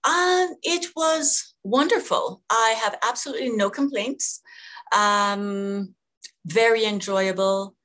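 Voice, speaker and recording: A middle-aged woman born in Jamaica, a physician being interviewed, talking thoughtfully with many pauses and fillers. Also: a little high, fairly bright tone. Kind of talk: conversational interview.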